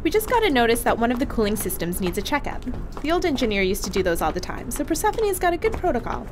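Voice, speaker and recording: A flirty crewmate: lively, slightly high, quick to laugh; noisy track. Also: female voice. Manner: bubbly, teasing, laughs often. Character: flirty, chatty female crewmate. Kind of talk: audio drama acting.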